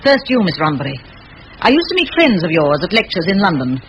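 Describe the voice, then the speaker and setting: An actress playing a determined, bossy middle-aged spinster schoolteacher in an old radio play, forthright and given to rhetoric. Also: female voice, lowish voice, sing-song, a little fast, a little hiss or hum, rough recording. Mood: blunt, candid.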